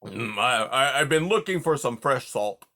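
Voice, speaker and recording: A man's voice: medium pitch, lively intonation, normal speed, creaky, sharp tone. A man voicing a blustery old porcupine. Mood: grumbling. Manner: sputters colorful made-up oaths, huffy. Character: grumpy old male porcupine, blustery and insulting.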